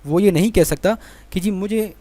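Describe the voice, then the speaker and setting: Higher-pitched Pakistani man giving a lecture. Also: moderate pace, dark tone, no room sound. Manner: explanatory, repeats points with rhetorical questions. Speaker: law lecturer. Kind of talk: exam-prep lecture, reading questions then explaining.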